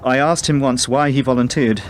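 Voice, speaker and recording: A man acting a grieving, shaken father in denial in a radio play, halting and rambling as he avoids hard news. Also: mid-range voice, fairly even intonation, a little hiss or hum, natural voice. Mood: stunned, evasive.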